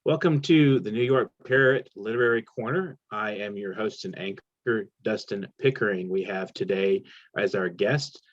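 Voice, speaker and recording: Man host, low voice, halting and restarting, muffled lo-fi sound. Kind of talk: hesitant interview questions.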